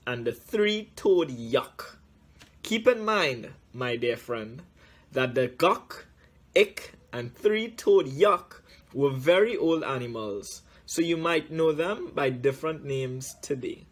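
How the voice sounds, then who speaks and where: Man, children's story reader, unhurried and deliberate, raspy voice, frequent pauses. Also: fairly high pitch. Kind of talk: reading a children's story aloud.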